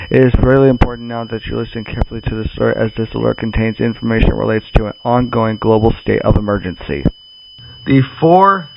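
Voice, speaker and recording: Man playing a halting, solemn government spokesman, noisy recording. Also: mid-range voice, lightly acted. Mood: grave, urgent. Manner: halting, stumbling, repeats himself. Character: grave government spokesman announcing the end of the world. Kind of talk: solemn emergency broadcast address.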